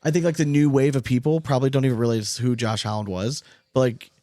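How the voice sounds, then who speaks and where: A man's voice: medium pitch, fairly even intonation, fast, dark tone, dry recording, clean studio sound. An American man co-hosting a podcast in casual, informal conversation with colloquial wording. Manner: informal, colloquial, mildly profane. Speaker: podcast co-host. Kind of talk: casual chat, asking questions.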